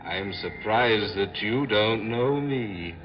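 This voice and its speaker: An actor playing a vengeful dead man come back as a ghost, slow, ominous and taunting in 1940s radio horror style. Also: on the low side, expressive. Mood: sly, knowing. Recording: dull 1940s radio recording with music.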